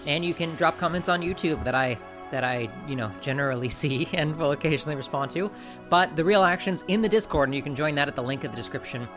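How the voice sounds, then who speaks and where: A woman hosting a podcast in casual chat, laughing often and restarting her sentences. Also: low-pitched. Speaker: podcast host.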